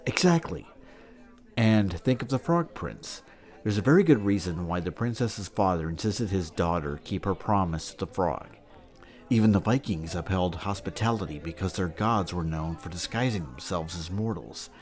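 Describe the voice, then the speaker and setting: Male actor as patient scholar type, deep register, measured teaching delivery, audio drama. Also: a little mellow. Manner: didactic, measured explaining. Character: learned man patiently explaining old myths and customs.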